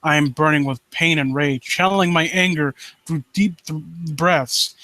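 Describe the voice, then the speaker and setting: A man reading aloud as a vengeful, ambitious brother who plots to seize a throne, in a grim, deliberate manner. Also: fairly high pitch, sharp tone, no room sound. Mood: brooding rage. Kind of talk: dramatic story reading.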